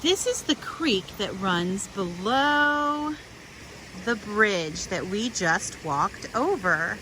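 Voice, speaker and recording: Expressive, unhurried woman children's storyteller. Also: mid-range voice. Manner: expressive, simple phrasing. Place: outdoors in a park. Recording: outdoors with faint wind noise.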